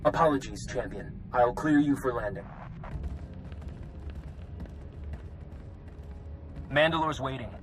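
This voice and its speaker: A man's voice: medium pitch, brisk, a little hiss or hum, lightly acted, low-quality recording. An actor playing a stern, dutiful male military controller with a clipped, official manner in a video game. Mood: deferential.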